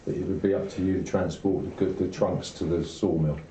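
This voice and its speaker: A low-voiced man talks the table through game rules in an instructive, casual way, often ending on a tag question. His tone is very dark and soft, picked up at a distance in an echoey room. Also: steady tone, brisk. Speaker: host explaining board game rules. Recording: roomy, muffled, distant mic, hissy noise.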